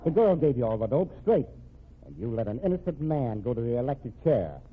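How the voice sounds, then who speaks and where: An actor playing a cocky, scheming crooked lawyer and killer with slangy, sneering tough talk in an old-time radio crime drama. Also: male voice, medium pitch, sing-song, brisk, slightly rough. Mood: cocky, threatening. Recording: noisy, tinny old radio broadcast recording.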